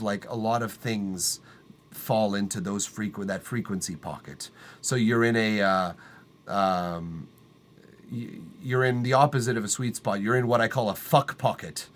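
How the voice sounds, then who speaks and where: A man's voice: fairly low pitch, unhurried. A man hosting a live music feedback stream from his treated studio room, talking loosely with lots of fillers, restarts and swearing. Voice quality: tenor voice. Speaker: livestream music feedback host. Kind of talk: casual live-stream chat.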